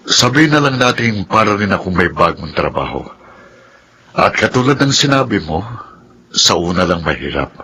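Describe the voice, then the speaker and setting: A man's voice: sits low, big pitch swings, moderate pace, pauses often, noisy background. An actor playing a shrewd, guarded middle-aged father in a Filipino radio drama, with a Filipino accent. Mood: gentle, resigned. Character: shrewd, guarded stepfather, gentle with his son.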